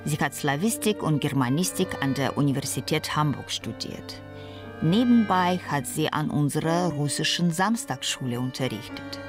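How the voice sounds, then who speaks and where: A woman reading scripted feature narration as a reporter, explaining things in a measured way. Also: low voice.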